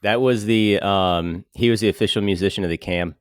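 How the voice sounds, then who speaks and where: A man with a low voice chimes into a casual podcast with brief, low-key remarks. The sound is close and dry, with no room around it. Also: a little fast, clean tone, no room sound. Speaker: podcast co-host. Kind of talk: casual chat.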